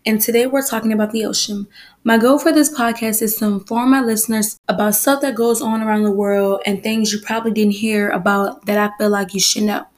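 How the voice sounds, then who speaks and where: Hoarse young woman hosting a podcast. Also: mid-range voice, fairly even intonation, brisk. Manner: chatty, rambling, off-topic asides. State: hoarse from allergies. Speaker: podcast host. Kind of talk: casual solo podcast talk.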